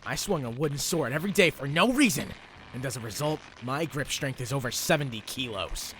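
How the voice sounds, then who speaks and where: A man's voice: medium pitch, animated, normal speed, background noise, lightly acted. A man voicing a cocky, hot-headed young shut-in in an anime fan dub, with a brash, taunting, theatrical delivery. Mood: furious, boastful.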